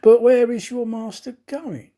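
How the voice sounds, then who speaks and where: A man voicing a suspicious, self-assured detective in a storybook, probing and deliberate. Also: very high-pitched, dark tone, voice pushed up.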